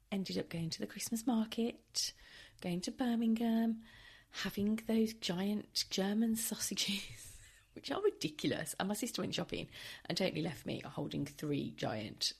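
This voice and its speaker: A woman hosting a casual solo podcast, chatty and wry, with a tired, croaky voice, recording at home. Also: mid-range voice. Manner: chatty, wry and self-deprecating. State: hoarse, tired. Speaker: podcast host.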